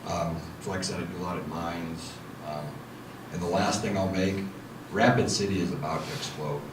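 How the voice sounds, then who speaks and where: A man's voice: sits low, steady tone, moderate pace. A man speaking as a panelist at a meeting, earnest and thick with fillers. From United States. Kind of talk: panel introduction. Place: meeting room. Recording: echoey room mic from far off, noisy.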